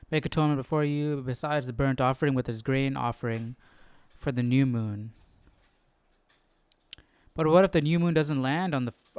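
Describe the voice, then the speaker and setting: A man's voice: medium pitch, a little mellow, clear, resonant tone, no echo. A man hosting a Bible study livestream, chatting casually and thinking aloud in a curious, musing way.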